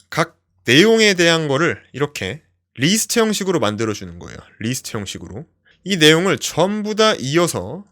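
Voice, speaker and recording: A man's voice: mid-range voice, a bit bright. A man presenting an instructional tutorial, explaining things step by step in simple terms. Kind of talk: instructional screen walkthrough, explanatory.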